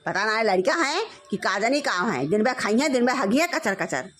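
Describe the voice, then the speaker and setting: A woman's voice: on the high side, very animated, moderate pace, loud music behind the voice. A woman with an Awadhi accent voicing a flustered, fawning village mother-in-law in a comedy cartoon, talking effusively and repetitively. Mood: exasperated. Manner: effusive, repetitive, swinging to shouted orders. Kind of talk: rural family comedy cartoon.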